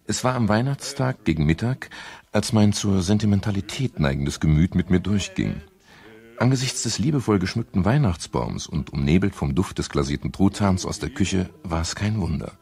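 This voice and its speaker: An actor playing a wry private detective, narrating his case drily for a radio crime drama, with a gravelly, husky voice. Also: deep voice, lively intonation, normal speed, darkish tone. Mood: wry, reflective. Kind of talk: scripted first-person radio crime narration.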